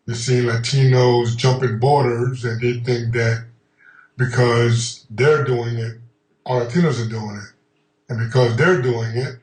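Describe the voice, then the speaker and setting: A man from Honduras speaking in a documentary interview, measured and reflective, pausing with ums. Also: medium pitch. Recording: roomy sound from a distant mic.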